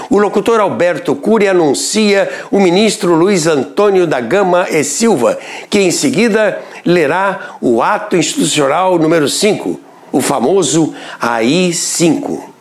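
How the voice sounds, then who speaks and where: A Brazilian man narrates radio history from a script. His voice sits a little high and moves with lively, expressive swings in pitch. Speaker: documentary narrator.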